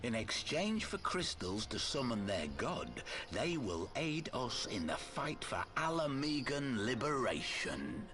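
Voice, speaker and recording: An actor playing a gruff, solemn and fervent male resistance fighter in a video game cutscene. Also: medium pitch, very animated, measured pace, hardly any pauses, over music. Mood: measured.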